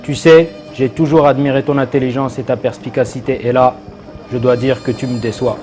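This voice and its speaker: A man's voice: medium pitch, steady tone, a little fast, a little mellow, soft background music, close to an everyday voice. A man playing an arrogant, worldly rich man with a condescending, boastful manner in a religious cartoon dub. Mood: dismissive. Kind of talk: dubbed religious cartoon acting.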